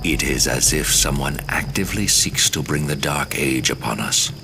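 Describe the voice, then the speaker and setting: A very deep, gravelly solemn elder. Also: male voice, normal speed, music in the background. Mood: grave, foreboding. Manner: solemn, portentous. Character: grave, indignant male elder of a secret order. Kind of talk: video game cutscene acting.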